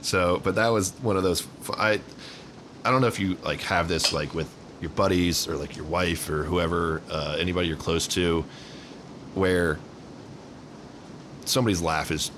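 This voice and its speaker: A man with a very low voice chats casually and tells stories in a rambling, joking, sweary way. The recording is noisy, with a low rumble under his words. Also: steady tone, moderate pace, long pauses. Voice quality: deep voice. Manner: rambling, jokey, profane. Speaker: interview guest. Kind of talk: casual storytelling chat.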